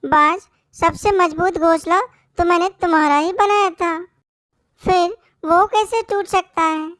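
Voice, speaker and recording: A woman voicing a kind, weary little female bird in a cartoon story, gentle and earnest. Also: very high-pitched, fairly even intonation, measured pace, no room sound, studio quality. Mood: puzzled. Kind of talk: Hindi cartoon story voice acting.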